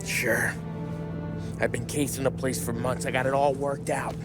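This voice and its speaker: An actor playing a brash, scheming, foul-mouthed American gangster in a period crime video game cutscene. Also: male voice, mid-range voice, little pitch movement, moderate pace, loud music behind the voice, lightly acted. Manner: brash, slangy, wisecracking.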